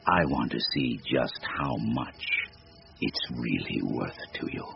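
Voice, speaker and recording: An actor playing a sinister supernatural bargainer in a radio drama, gravelly, with a deliberate, formal, taunting delivery. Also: male voice, rumbling low, lively intonation, exaggerated voice. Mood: commanding, taunting. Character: sinister supernatural stranger, silky, devilish bargainer, bored and mocking. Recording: noisy, muffled radio drama recording.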